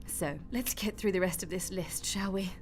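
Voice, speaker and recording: A woman's voice: mid-range voice, moderate pace, close to an everyday voice. Woman playing a matter-of-fact God, over music. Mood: breezy, wry. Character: God as a breezy, matter-of-fact mother, wryly bureaucratic. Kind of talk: radio drama acting.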